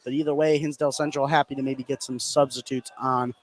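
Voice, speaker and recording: A man doing energetic play-by-play radio commentary on basketball, following each pass in clipped phrases. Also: mid-range voice, quick pace. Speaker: play-by-play sports announcer. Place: indoor gymnasium at a live game. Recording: clear gym noise behind the voice.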